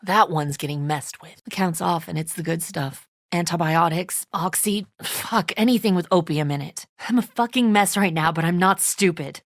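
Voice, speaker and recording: A woman's voice: on the low side, normal speed, hardly any pauses, no room sound, studio quality, natural voice. A woman voicing a tense, suspicious and defensive leader of zombie apocalypse survivors in a video game, speaking bluntly with biting sarcasm. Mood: suspicious, curt.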